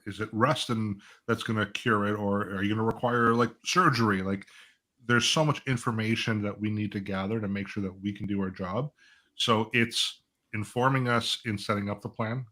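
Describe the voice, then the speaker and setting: Male financial advisor, lower register, conversational and explanatory, panel discussion. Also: bright, forceful tone. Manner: explanatory, uses acted-out client dialogue and rhetorical check-ins. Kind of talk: conversational live panel talk.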